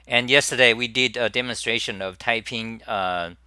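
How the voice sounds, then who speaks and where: A middle-aged man chats casually on a live stream, stopping and restarting his sentences often. His voice is bright and forward, and the sound is dry and close, with almost no room around it. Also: medium pitch, moderate pace, smooth, no room sound. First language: Chinese. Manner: rambling with many restarts and fillers. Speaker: livestream host.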